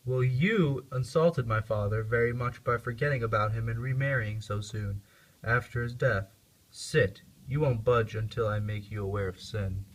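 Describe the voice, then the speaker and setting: A man's voice: medium pitch, normal speed, lightly acted, low-quality recording. A man playing a brooding, accusing, half-mad young prince in an amateur puppet-show play.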